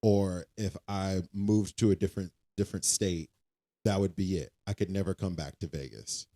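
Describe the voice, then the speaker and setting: A man, co-hosting a relaxed podcast about faith. He talks in a reflective, searching way, circling back and repeating his phrases as he works out a thought. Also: sits low, normal speed. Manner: reflective, repeats himself, trails off. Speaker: podcast co-host. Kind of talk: casual faith-based chat.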